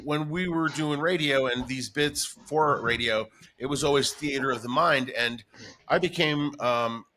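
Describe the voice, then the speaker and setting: A man's voice: medium pitch, unhurried, bright, forceful tone, slight background noise. A middle-aged man talking casually and enthusiastically as a guest in an interview, reminiscing in a chatty way. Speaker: radio morning show comedian, guest.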